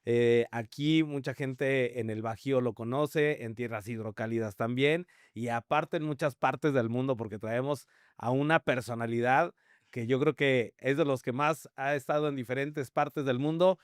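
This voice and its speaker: A man's voice: mid-range voice, normal speed, clean tone, dry recording. A man hosts a podcast, opening the show and introducing his guest. He has a mid-range voice recorded with a dry, close sound. His manner is easygoing and joking. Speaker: podcast host. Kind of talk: friendly interview hosting.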